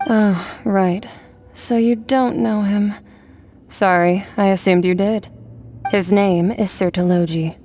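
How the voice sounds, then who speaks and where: A woman playing an aloof, blunt young warrior from another world in a video game cutscene, deadpan and detached. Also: medium pitch, slow pace. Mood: mildly puzzled. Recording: background music under the voice.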